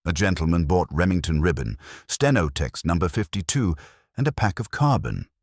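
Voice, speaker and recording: A man as a stiff baritone shop clerk. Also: animated, normal speed, darkish tone, husky, dry recording, clean studio sound, exaggerated voice. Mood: stiff, factual. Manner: stiff, terse. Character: stiff male baritone stationery store clerk.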